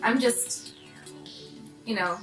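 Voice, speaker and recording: A woman voicing a breezy, teasing junior high schoolgirl in a tabletop game, chatty and playful. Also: very slow, reverberant, soft background music.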